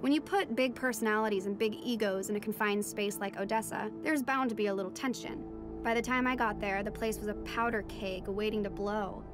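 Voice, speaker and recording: A woman playing a marine biologist's daughter, telling her undersea adventure in measured, dramatic first-person narration. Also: medium pitch, music in the background, natural voice. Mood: reflective.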